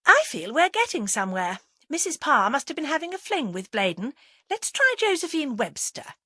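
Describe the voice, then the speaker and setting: A woman voicing a brisk, bossy, jealous female amateur sleuth in an audiobook, snappy and assertive. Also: high-pitched, expressive, fairly bright tone, no echo, clean studio sound, voice pushed up. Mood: cheerful. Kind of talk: audiobook character acting.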